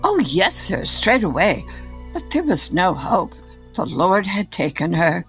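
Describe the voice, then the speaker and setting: An actress playing a timid, deferential servant woman in an audio drama, hesitant and apologetic, with a husky voice. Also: lowish voice, big pitch swings, unhurried, faint music behind. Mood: nervous, apologetic.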